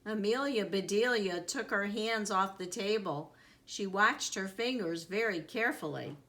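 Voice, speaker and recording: A woman reading a children's story aloud in an expressive, deliberate storytelling manner with dramatic emphasis. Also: mid-range voice. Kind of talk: expressive read-aloud narration.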